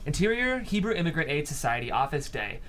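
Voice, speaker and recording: A man's voice: fairly high pitch, big pitch swings, fast, fairly bright tone, slight background noise. A man narrating screenplay stage directions aloud in a studio as the host of a table read.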